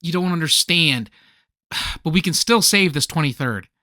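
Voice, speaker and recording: A man's voice: on the high side, animated, moderate pace, sharp tone, no room sound, clean studio sound. A man playing an earnest, fussy tape-obsessed guy in a comedic radio play, with a stammering, dramatic, insistent delivery. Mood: pleading.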